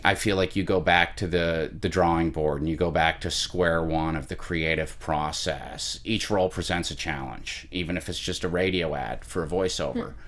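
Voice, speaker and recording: Deep-voiced young man, earnest guest. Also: steady tone, fairly bright tone. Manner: earnest, measured, with frequent fillers and restarts. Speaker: actor guest. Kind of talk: casual interview answers.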